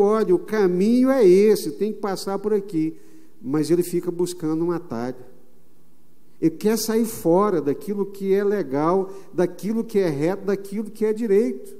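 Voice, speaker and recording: A Brazilian man preaching a sermon as pastor, emphatic, stretching some phrases slowly. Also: sits high, mellow. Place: church sanctuary. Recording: long reverb of a church hall.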